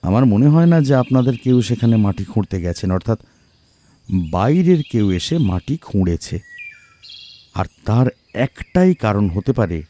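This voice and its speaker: A man playing a composed, analytical detective in an audio mystery, explaining deliberately. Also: fairly low pitch, very animated, mellow, a little hiss or hum. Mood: calm, deductive. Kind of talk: audio detective story acting.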